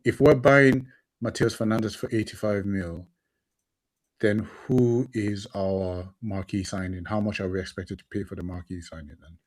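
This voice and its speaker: A man's voice: on the low side, steady tone, normal speed. A man co-hosting a football podcast, sniffly from hay fever, passionate and often repeating words as he thinks. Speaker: podcast co-host. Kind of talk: casual football podcast chat.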